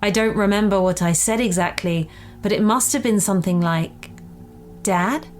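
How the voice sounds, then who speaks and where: A woman's voice: medium pitch, normal speed. Woman narrating a story, faint noise behind. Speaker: story reader. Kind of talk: first-person scripted story narration.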